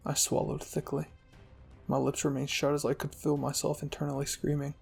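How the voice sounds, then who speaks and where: A man's voice: on the high side, monotone, a little fast, creaky, darkish tone, husky. A man narrating a scripted fan-fiction story dramatically, as the host of a storytelling channel. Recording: music behind the voice.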